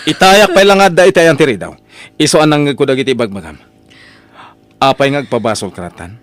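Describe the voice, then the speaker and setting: A man with an Ilocano Filipino accent playing an exasperated, defiant husband in an audio drama, emphatic and expressive. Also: medium pitch, brisk, dry recording, faint music behind, natural voice. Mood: indignant, admonishing. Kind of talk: radio-style audio drama acting.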